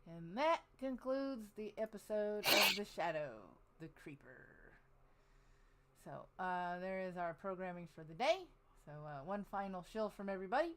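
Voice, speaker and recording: A woman's voice: mid-range voice, lively intonation, moderate pace, fairly bright tone, a little hiss or hum. A woman hosting a casual panel podcast, chatting informally. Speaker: podcast host.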